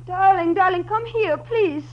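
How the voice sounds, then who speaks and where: A woman playing a haughty, excitable glamour girl, theatrical and stiffly phrased, in 1940s radio drama. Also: extremely high pitch, unhurried. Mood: alarmed, dramatic. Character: excitable, haughty foreign glamour woman, rich man's darling. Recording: muffled old radio recording with hum.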